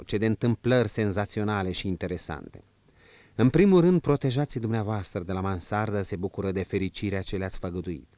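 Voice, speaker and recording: A man voicing a calm, composed prince, low and measured, in a dull audiobook recording. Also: no echo. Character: male prince, calm, gently commanding.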